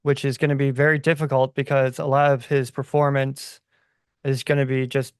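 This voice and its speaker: A man's voice: mid-range voice, level tone, normal speed, clean tone, no room sound, studio quality. A man speaking as a podcast guest in casual, conversational discussion.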